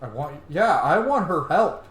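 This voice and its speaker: A man's voice: on the high side, sing-song, a little slow. A man giving casual, slangy commentary as he plays a story game, often in short muttered reactions. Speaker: gamer playing through a story game. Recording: roomy sound, faint noise, game ambience.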